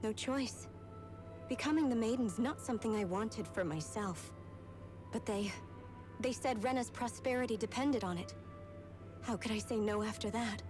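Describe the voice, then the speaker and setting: A woman's voice: fairly high pitch, moderate pace, pauses often, music in the background, close to an everyday voice. A woman playing a dutiful young ceremonial maiden in a video game, composed, sincere and earnest. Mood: calm, resigned. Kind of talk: video game cutscene voice acting.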